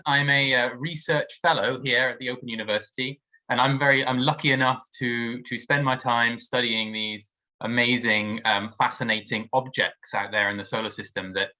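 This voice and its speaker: Man researcher, bright crisp tone, chatty, restarts often. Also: medium pitch, rough recording. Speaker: research fellow. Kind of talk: casual self-introduction.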